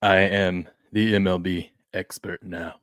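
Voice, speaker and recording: A man in his thirties hosting a live sports betting stream, with a fairly low voice and a very dry, close sound. He drifts between quiet muttering and sudden loud outbursts. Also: measured pace, clean tone, no echo. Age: 30s. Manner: animated, jumps between mumbling and loud hype. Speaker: sports betting show host. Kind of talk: casual live-stream chat.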